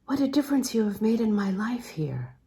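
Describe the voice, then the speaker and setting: Woman reading as a tender, melancholy older man, softly mellow. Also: on the high side, very animated, a little fast. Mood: tender, wistful confession. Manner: gentle, hesitant, earnest. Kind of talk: literary audiobook dialogue.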